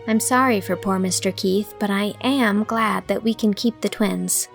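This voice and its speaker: A woman playing an earnest, kindly young woman, gentle and sincere, in an audiobook drama. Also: mid-range voice, moderate pace, vocal fry, soft background music, close to an everyday voice. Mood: sober. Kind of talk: audiobook drama character reading.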